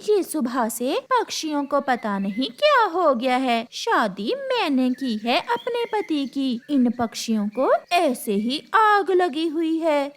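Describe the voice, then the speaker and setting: A woman voicing a sly, greedy bird wife in a cartoon story, with slow, coaxing, boastful delivery. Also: sits high, big pitch swings, slight background noise, exaggerated voice. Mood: irritated. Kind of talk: Hindi cartoon storybook acting.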